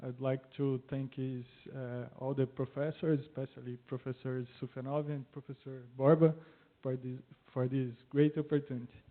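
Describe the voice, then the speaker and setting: Brazilian man, mid-pitched, tinny recording. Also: level tone, normal speed. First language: Portuguese. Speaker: senior neurosurgery resident. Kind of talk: short thank-you speech. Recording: narrow tinny phone-like sound.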